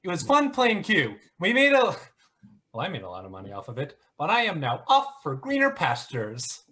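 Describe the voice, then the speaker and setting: A man's voice: fairly high pitch, huge pitch swings, normal speed, sharp tone, breathy edge, exaggerated voice. A man playing a smug, flippant internet conspiracy grifter who mocks theatrically in a one-act play. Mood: smug, dismissive. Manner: sarcastic, mocking, theatrical.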